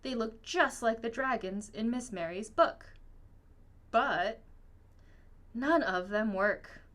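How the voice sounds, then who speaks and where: A woman reading a children's picture book aloud in an expressive, storytelling manner. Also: fairly high pitch, slow. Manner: expressive, acts out dialogue. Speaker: storybook reader.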